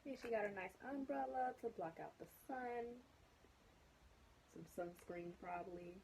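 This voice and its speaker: A woman's voice: mid-range voice, lively intonation, measured pace, creaky, darkish tone, slight background noise, poor audio. A woman reading a children's picture book aloud casually, chatting to young listeners with questions and asides. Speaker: storybook reader.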